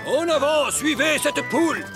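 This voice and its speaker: A man's voice: extremely high pitch, animated, unhurried, over loud music, exaggerated voice. A man playing an earnest, commanding, overdramatic elf in a French children's cartoon dub, very emphatic.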